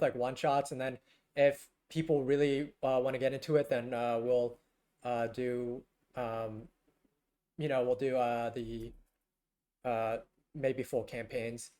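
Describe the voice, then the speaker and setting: A young man streaming a game, chatting to viewers in a halting, rambling way full of fillers, his voice scratchy and worn down from talking for hours. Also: mid-range voice, a little mellow. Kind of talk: casual live-stream chat with viewers.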